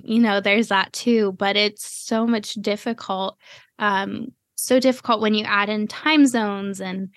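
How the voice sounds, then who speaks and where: A woman hosting a podcast in casual interview chat, talking quickly with lots of fillers. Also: mid-range voice, a bit bright, dry recording, clean studio sound. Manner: chatty, quick, with frequent "you know" fillers. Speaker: podcast host.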